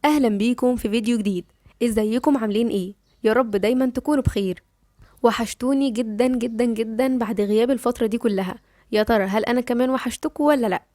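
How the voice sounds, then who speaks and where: A woman's voice: on the high side, rapid-fire, mellow, no room sound, clean studio sound. A young Egyptian woman with a cold, chatting directly to her listeners and narrating a novel on her channel. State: has a cold. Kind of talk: chatty intro then storybook narration.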